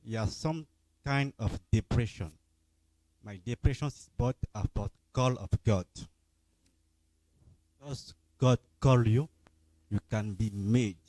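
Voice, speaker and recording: A man's voice: mid-range voice, slow pace, long pauses, a little mellow, no room sound. A man from the congregation politely asking to explain further.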